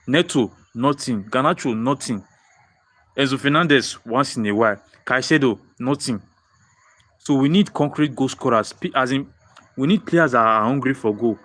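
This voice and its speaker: A young Nigerian man with a Nigerian accent hosting a live football fan stream, answering viewer comments and repeating his points with many restarts. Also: medium pitch. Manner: excitable, repeats phrases, frequent restarts. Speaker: football livestream host.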